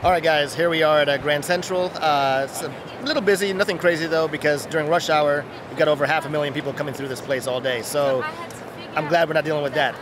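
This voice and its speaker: A man's voice: on the high side, fairly even intonation, fast talker, over music. A middle-aged American man vlogging casually into a handheld phone microphone at arm's length inside a huge, busy train station hall. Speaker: product reviewer, vlogger. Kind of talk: casual off-the-cuff vlogging to camera. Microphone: handheld phone rig mic at arm's length. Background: busy station crowd ambience.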